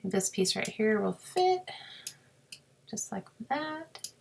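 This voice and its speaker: A woman with a sore, scratchy throat, explaining a crafting software task step by step in a chatty way and muttering to herself as she clicks. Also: medium pitch, sing-song, takes it slowly. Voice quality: raspy with creaky fry. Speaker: crafting tutorial host. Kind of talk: screen-recorded software walkthrough.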